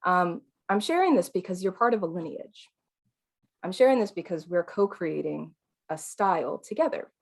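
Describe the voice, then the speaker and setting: A non-binary teacher with a female-sounding voice, leading a live online qigong class in a calm, soothing, chatty way with fillers and light laughs. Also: on the low side, normal speed, vocal fry.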